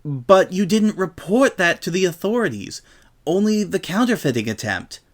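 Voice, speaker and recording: A man's voice: high-pitched, animated, moderate pace, pitched above the performer's own voice. A man voicing a refined, diplomatic lady unicorn pony who speaks in careful, formal phrases in a story reading. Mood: measured.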